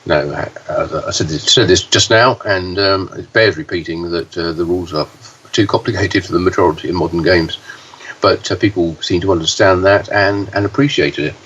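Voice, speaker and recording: A man co-hosting a podcast, talking with a hoarse voice and halting, with frequent fillers and restarts. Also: low voice, fairly bright tone. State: hoarse, deeper voice than usual. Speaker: podcast co-host, pinball news reporter. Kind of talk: casual podcast chat. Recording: dull, slightly distant, faint hiss.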